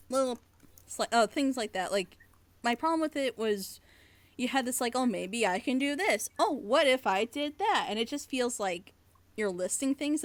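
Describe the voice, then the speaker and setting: A woman chatting casually on a reaction panel, giving feedback in an animated, rambling, gushing way. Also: fairly high pitch, no echo. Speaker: reviewer and script editor on a reaction panel.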